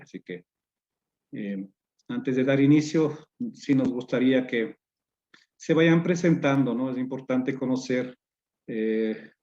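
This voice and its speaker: A man with a dark, mellow voice leads the introductions for an online course and pauses often between halting phrases. The sound is dull and lo-fi, as over a video call. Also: mid-range voice. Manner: hesitant, many fillers and restarts. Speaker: course organizer and moderator.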